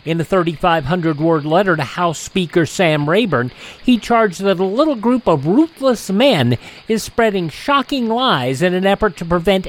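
A man's voice: sits high, rough recording. A man hosting a radio show, reading scripted news items and announcements.

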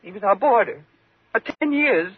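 A woman's voice: medium pitch, animated, normal speed, no room sound. An actress playing a nervous, fearful woman in a 1950s radio thriller, with a faltering, agitated delivery. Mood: terrified. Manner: faltering, agitated, breathy gasps. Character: nervous, fearful woman trapped with a menacing man. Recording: muffled, tinny 1950s radio recording.

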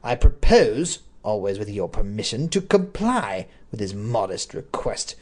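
A reader voicing an imperious aristocratic duke who speaks grimly and bitterly. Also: male voice, lowish voice, sing-song, measured pace, a little mellow, pitched below the performer's own voice. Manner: grim, bitter, commanding.

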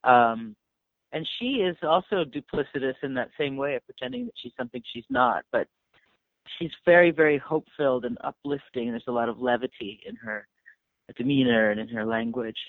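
Director, man, gravelly voice, tinny phone line, keen explanation. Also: medium pitch, expressive, moderate pace, no echo. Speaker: stage director and actor. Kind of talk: interview answer.

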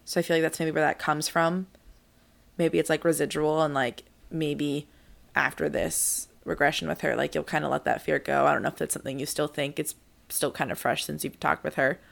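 Very low, gravelly young woman musing. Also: steady tone, brisk. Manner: reflective, tentative, full of "like". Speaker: podcast co-host. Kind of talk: casual podcast chat.

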